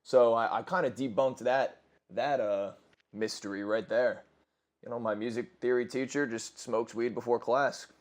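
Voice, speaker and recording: A man's voice: medium pitch, steady tone, normal speed. A man in his twenties telling crude, rambling stories with lots of swearing and self-corrections over gameplay. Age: 20s. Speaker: gaming commentator. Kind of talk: casual storytelling over gameplay.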